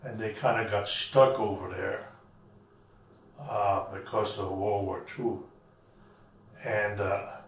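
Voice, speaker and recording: A man in his eighties who grew up in Germany, slowly and haltingly recounting his early life in an oral history interview at home. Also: on the low side, a little hiss or hum. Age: 80s. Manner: halting, searching for words. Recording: roomy, from far off.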